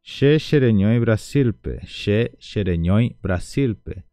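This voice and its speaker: A man with a Brazilian Portuguese accent teaching an online language lesson, slowly repeating each word syllable by syllable. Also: fairly low pitch, animated, warm tone, no echo, soft background music.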